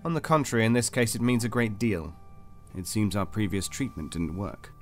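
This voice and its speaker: An actor playing a cold, detached interrogator in an audio drama, formal, controlled and insistent. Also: male voice, on the low side, moderate pace, lightly acted. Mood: coldly firm. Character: cold, clinical male interrogator of a captive. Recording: music under the voice.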